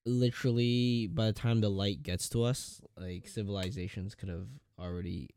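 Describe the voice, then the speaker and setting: Young man with a smooth, mellow voice. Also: lowish voice, fairly even intonation, moderate pace. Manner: loose, rambling, jokey with filler words. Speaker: podcast co-host. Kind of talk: casual chat.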